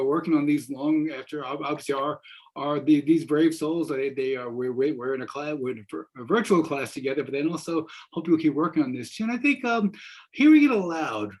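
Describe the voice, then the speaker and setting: A man's voice: fairly high pitch, a little mellow, breathy edge. A man in his sixties moderating an informal panel on a video call, encouraging and rambling, often repeating words. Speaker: moderator, professor. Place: wood-paneled room indoors.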